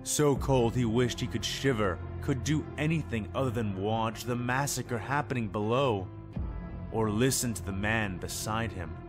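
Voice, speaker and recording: A man giving a dramatic scripted narration of a dark war tale as a grim storyteller. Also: medium pitch, a little slow, music in the background, close to an everyday voice. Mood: grim, tense.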